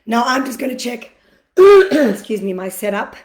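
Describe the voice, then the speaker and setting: A woman from Australia hosting a live question-and-answer session as an acupuncturist, chatty, greeting viewers as they join, her voice a little croaky and clearing her throat now and then. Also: fairly high pitch, sing-song, unhurried. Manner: chatty, warm greetings to viewers, many fillers. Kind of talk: casual livestream talk. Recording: echoey room livestream.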